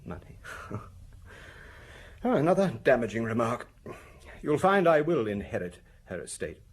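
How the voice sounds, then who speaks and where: An actor playing a hard-drinking, maudlin husband under suspicion in an audio drama, speaking in a hesitant, confessional way. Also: male voice, mid-range voice, sing-song, moderate pace, husky. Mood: maudlin, wistful. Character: maudlin, hard-drinking French husband, a shaken murder suspect.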